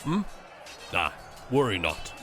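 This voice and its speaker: An actor playing a calm, experienced male witch hunter giving advice mid-battle in a fan audio drama. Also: lowish voice, takes it slowly, faint music behind, heavily acted. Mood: steady.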